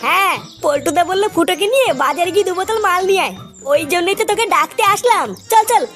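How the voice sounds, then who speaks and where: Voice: extremely high pitch, fast talker, fairly bright tone, faint music behind. A performer voicing a brash, cheeky, foul-mouthed cartoon character in a comic dub, exclamatory and swaggering. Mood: cheeky, excited.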